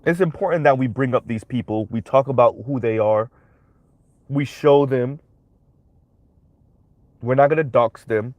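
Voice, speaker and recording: A man's voice: mid-range voice, measured pace, long pauses, no room sound, highs cut off. Stuffy young man with a cold. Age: young adult. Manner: emphatic, frequent tag questions. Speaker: commentary channel host. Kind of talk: livestream social commentary.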